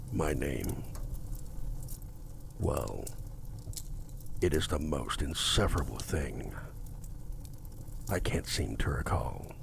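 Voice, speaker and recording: A man playing a courtly, possessive, seductive demon in an ASMR roleplay, speaking formally and commandingly in a gravelly, at times breathy voice. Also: rumbling low, slight background noise, heavily acted. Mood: haughty, menacing. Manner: slow, formal, theatrical, commanding.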